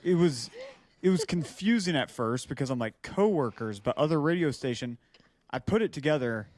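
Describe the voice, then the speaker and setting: A man's voice: fairly high pitch, lively intonation, moderate pace, darkish tone, no room sound. An American man co-hosting a podcast with casual banter. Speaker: podcast co-host.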